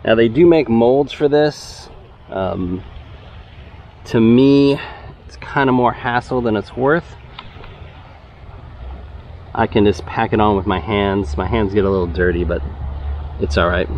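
A man's voice: fairly low pitch, unhurried, pauses often. A young man with a Texan accent, casually explaining how he fishes as he goes, recorded outdoors on a head-mounted camera. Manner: relaxed, explanatory, gets excited when a fish bites. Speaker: fishing channel host. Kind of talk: casual fishing vlog narration. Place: outdoors by a concrete-lined urban bayou. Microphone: head-mounted action camera. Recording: dull outdoor camera audio, faint traffic noise.

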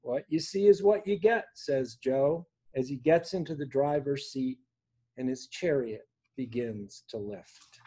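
Man in his sixties, poet reading aloud, unhurried and deliberate, mellow tone. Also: mid-range voice, slightly rough. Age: 60s. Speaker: poet reading own work. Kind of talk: poetry reading at a virtual open mic, with informal introductions. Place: small room indoors at home.

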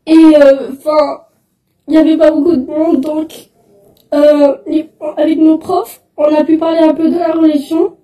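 A teenage girl, crying and halting as she tells her story to a phone camera indoors. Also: high-pitched, level tone, dark tone, roomy sound, far from the mic, rough recording. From Belgium. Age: teens. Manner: tearful, halting, upset. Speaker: student. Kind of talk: selfie video testimony. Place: indoors, small room. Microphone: phone held close, front camera.

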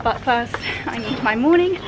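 High-voiced chatty young woman vlogger. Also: lively intonation, moderate pace, low-quality recording. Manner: chatty, upbeat, calls viewers darling. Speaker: travel vlogger. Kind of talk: casual vlog narration. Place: outdoors at a resort and beach club. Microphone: camera held at arm's length. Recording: loud music and crowd in club.

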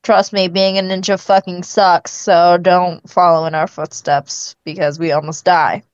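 A woman's voice: fairly low pitch, flat delivery, unhurried, dry recording, highs cut off, lightly acted. A woman voicing a jaded, sarcastic robot ninja in a casual cartoon fandub. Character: jaded, deadpan, foul-mouthed robot ninja. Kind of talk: comedic amateur cartoon fandub.

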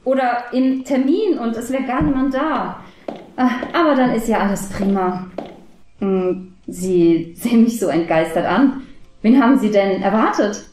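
A courteous female interviewer acted by a woman in a comedy audio play. Her voice sits fairly high and rings in a roomy space. She puts her words precisely and politely. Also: a little slow, a little mellow, slight background noise, natural voice. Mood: polite, curious.

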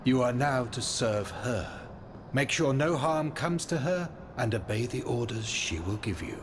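An actor as a commanding, expressive inventor count. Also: male voice, mid-range voice, unhurried, background noise, natural voice. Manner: formal, instructive. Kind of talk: dramatic video game cutscene acting.

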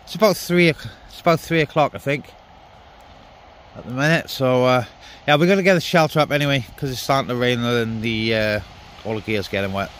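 A man's voice: medium pitch, brisk, fairly bright tone. A man chatting casually and informally to viewers as he handles gear at an outdoor camp, muttering asides. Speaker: outdoor CB radio vlogger. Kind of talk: casual vlog chat to viewers. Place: outdoors in a wet forest camp. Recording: outdoor camera with clear background noise.

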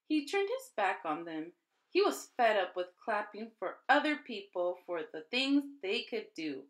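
A woman reading a children's picture book aloud as a storyteller, in an expressive, deliberate style made for young children. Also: on the high side. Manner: deliberate, animated storytelling delivery.

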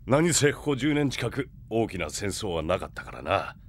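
A man's voice: on the low side, big pitch swings, moderate pace. An actor playing a grave, authoritative warrior king, a mature man, in an anime audio drama. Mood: grave, brooding.

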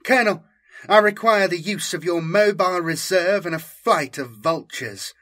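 A man's voice: sits high, normal speed, bright, forceful tone, voice pushed up. A man voicing a stern, commanding female inquisitor in a grave, intense audiobook style. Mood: steely, commanding.

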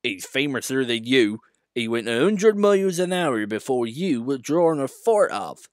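A man's voice: mid-range voice, big pitch swings, unhurried, dry recording, clean studio sound, pitched above the performer's own voice. A man voicing a small, earnest and shy male engine who speaks eagerly and politely.

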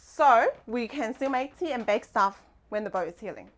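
A woman's voice: on the high side, lively intonation, normal speed, fairly bright tone. A young woman giving a casual guided tour as a host, speaking into a clip-on mic inside a small boat cabin. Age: young adult.

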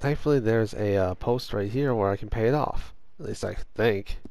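Hoarse guy narrating his game casually, kinda low voice, cusses when stuff goes bad. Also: moderate pace, darkish tone, no room sound. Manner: chatty, swears when things go wrong. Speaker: gaming host. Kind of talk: casual let's-play commentary.

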